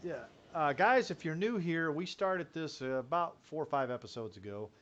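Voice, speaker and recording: A man's voice: a little high, normal speed, muffled recording. A man hosting a casual group chat over a video call, talking loosely with lots of fillers. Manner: chatty, relaxed, frequent fillers. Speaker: host.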